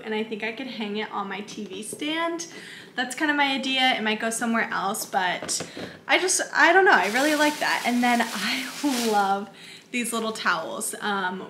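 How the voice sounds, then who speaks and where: A woman's voice: on the high side, pushed and bright, a little hiss or hum. A woman in her twenties chatting casually through a shopping haul in her home kitchen, bubbly and full of "like" fillers. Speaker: lifestyle vlogger. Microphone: camera in front, medium close framing. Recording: echoey kitchen.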